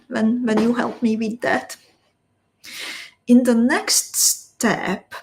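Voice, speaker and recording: Slow-talking woman teaching beading. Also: a little high, creaky. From Slovakia. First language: Hungarian. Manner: slow, step-by-step instructions, chatting with viewers. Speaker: beading instructor. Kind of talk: live-stream craft tutorial.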